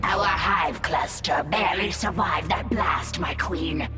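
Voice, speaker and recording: A woman's voice: fairly low pitch, takes it slowly, raised voice. An actress playing a loyal female alien swarm leader who speaks urgently to her queen. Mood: devoted. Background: game music.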